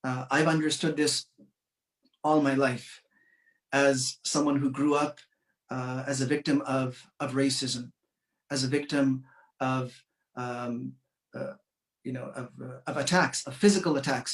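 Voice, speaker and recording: A man's voice: mid-range voice, fairly even intonation, roomy sound. Earnest man speaking from far off. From Canada. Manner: measured, earnest, with frequent uh fillers. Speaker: community speaker. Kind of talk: commemorative speech.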